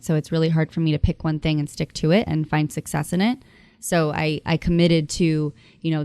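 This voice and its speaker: A woman's voice: sits low, a little mellow, no room sound. A woman of about thirty hosting a podcast, who talks in a thoughtful, rambling way with many fillers. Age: 30s. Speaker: podcast host. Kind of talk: casual conversation.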